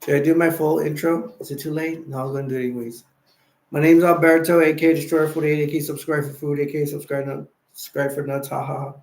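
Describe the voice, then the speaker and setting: A tired, sleepy man hosting a casual late-night live stream, rambling and giving viewers shout-outs. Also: fairly high pitch, level tone, fast, creaky. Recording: echoey room.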